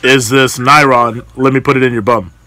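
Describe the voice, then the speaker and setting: A man's voice: medium pitch, fairly even intonation, normal speed, sharp tone. A man in his twenties making casual prank phone calls, hesitant and falsely polite, with slangy wording. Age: 20s. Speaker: prank caller. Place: small bedroom, indoors. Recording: slight background noise, close home recording.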